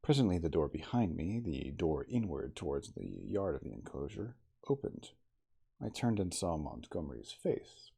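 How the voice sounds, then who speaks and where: A man reading a classic novel aloud as ASMR, in a soft, intimate, slow dramatic storytelling manner. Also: sits low, sing-song, vocal fry, husky. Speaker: audiobook reader in character as the narrator.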